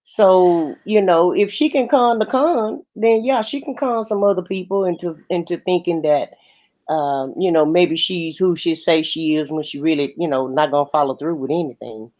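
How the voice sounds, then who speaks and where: A woman's voice: on the low side, moderate pace, a little mellow. A woman talking in a colloquial, opinionated way in casual livestream gossip commentary. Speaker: co-host of a livestream. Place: livestream call. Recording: muffled lo-fi livestream audio.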